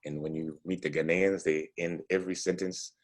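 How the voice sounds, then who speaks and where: A man's voice: sits low, steady tone, normal speed. A man in his forties from the States giving a casual talk to students, chatty and full of "you know" and "right?". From United States. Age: 40s. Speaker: entrepreneur guest speaker.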